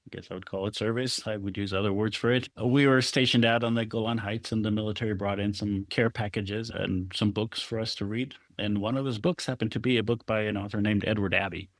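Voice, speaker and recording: Low-voiced reflective man from Israel. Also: fairly even intonation, brisk, hardly any pauses, no room sound. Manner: thoughtful, laughs often while telling stories. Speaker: guest photographer. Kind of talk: reflective conversational interview.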